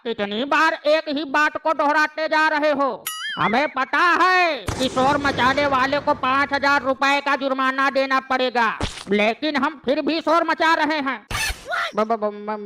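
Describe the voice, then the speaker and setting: Brash rustic man, played by a voice actor: high, bossy, teasing, noisy backdrop. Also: unhurried. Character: loud rustic male villager and patient. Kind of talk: comic cartoon voice acting.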